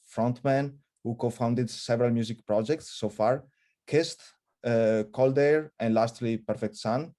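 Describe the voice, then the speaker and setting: Mellow-voiced male interviewer, hesitant. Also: medium pitch. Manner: hesitant, many fillers, laughs often. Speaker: interviewer host. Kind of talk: casual web interview. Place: virtual studio, remote call.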